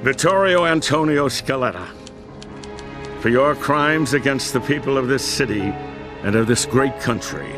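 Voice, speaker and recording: Man voicing a stern judge, formal and grave, mid-pitched, music behind. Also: lightly acted. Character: stern older male judge passing sentence.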